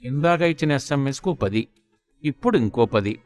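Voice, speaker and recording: A man's voice: mid-range voice, lively intonation, moderate pace, darkish tone, dry recording, close to an everyday voice. A man voicing a long-suffering husband who mutters and grumbles sarcastically. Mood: sarcastic grumbling.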